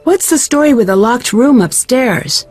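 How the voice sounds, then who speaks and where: A woman's voice: a little high, animated, a little slow, darkish tone. An actress playing a composed, inquisitive young woman detective in a video game, with a polite, probing manner. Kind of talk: video game dialogue acting. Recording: eerie game music behind.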